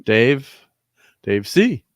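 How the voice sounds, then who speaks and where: A man's voice: medium pitch, big pitch swings, very slow, no echo. A man hosting a casual livestream, rambling through chat names and laughing often. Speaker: host.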